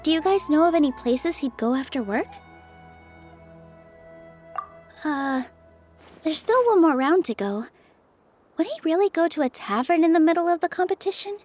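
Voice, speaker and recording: A sweet-tempered, helpful young woman in a fantasy video game. Her voice is high and soft, with polite, thoughtful pauses and faint music behind her. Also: animated. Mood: curious.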